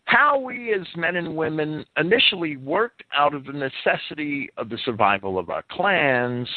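A man with a fairly high, animated voice discusses and comments, often stammering over the first words of phrases. The sound is dry and narrow, like a lo-fi internet radio line. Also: normal speed, dry recording. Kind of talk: opinionated discussion, part prepared commentary.

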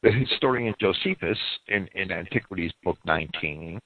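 A man's voice: low-pitched, expressive, moderate pace, slightly rough, no echo. A man giving a lecture-style radio talk that explains and cites sources at length, often repeating words as he restarts. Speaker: radio program speaker answering critics. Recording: tinny phone-line radio audio.

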